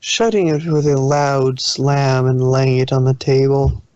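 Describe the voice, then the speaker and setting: A man reads fan fiction aloud in a medium-pitched voice, narrating the story and now and then tripping over the text. The recording is very dry and a little muffled. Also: fairly even intonation, a little slow, darkish tone, no room sound.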